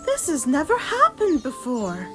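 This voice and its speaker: A woman's voice: high voice, wildly swooping pitch, measured pace, exaggerated voice. A woman voicing a fussy, sceptical teenage magical girl in a comic fan dub. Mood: wondering. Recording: loud music behind the voice.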